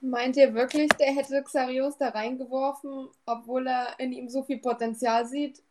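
A woman with a high voice takes part in a tabletop role-playing session as a player. She speaks casually, as in chat around a game table. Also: fairly even intonation, moderate pace.

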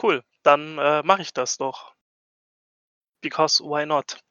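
A man with a bright, fairly high voice plays a tabletop game at an unhurried pace. He is playful and casual, slipping English phrases in, on slightly dull audio. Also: clear, resonant tone, no echo. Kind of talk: casual chat during a role-playing game.

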